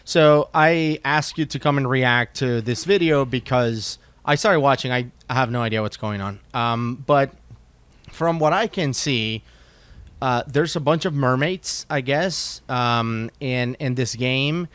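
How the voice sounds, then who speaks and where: A man hosting a casual chat, animated and quick to jump in with questions. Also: medium pitch, clear, resonant tone, dry recording. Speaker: host.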